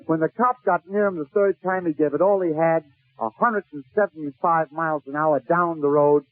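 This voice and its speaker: A man's voice: a little high, moderate pace. A male comedian telling funny stories in a 1940s radio style, with theatrical, short, punchy phrases. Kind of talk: 1940s radio comic storytelling. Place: radio broadcast. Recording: tinny, narrow-band 1940s radio recording.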